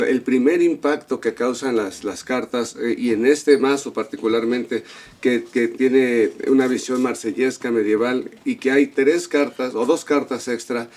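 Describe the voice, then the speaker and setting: A man's voice: mid-range voice, darkish tone. A man co-hosting a tarot channel interview, speaking casually and rambling with repeated words.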